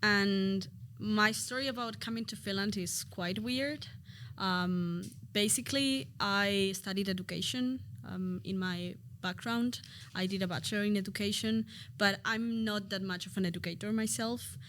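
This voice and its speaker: A woman's voice: medium pitch, moderate pace, fairly bright tone. Spanish-speaking woman in her 20s, podcast guest, mid voice, loose chatty way of talking. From Spain. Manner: chatty, thinking aloud with fillers. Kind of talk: podcast interview.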